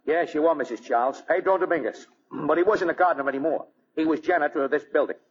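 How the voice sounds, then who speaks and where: A male actor as a brisk American police lieutenant in a 1940s radio mystery. He talks fast and plainly, in a slightly high voice for a man. The old recording is muffled. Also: natural voice. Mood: businesslike. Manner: businesslike, plain-spoken. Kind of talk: 1940s radio drama acting.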